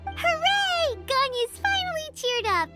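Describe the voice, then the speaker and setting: A woman voicing a tiny, childlike, excitable and greedy fairy companion in a video game, squeaky and talking like a small child. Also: takes it slowly, heavily acted. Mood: gleeful. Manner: squeaky, third-person baby talk. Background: background music.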